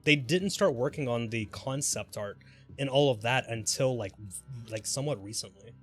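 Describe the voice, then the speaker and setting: A man's voice: mid-range voice, normal speed. A man chatting casually and informally on a live gaming stream, speculating in a rambling way. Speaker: game livestreamer. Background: background music.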